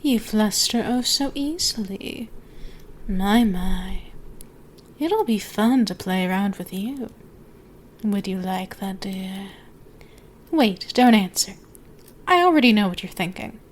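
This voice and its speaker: A woman plays an ancient dragoness in an intimate ASMR roleplay. She speaks slowly, teasing and dominant, often sinking toward a whisper. A clear layer of background ambience sits behind the voice. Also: mid-range voice, animated, slight background noise. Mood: teasing, flirtatious. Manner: slow, teasing, drawn-out, often dropping to whispers.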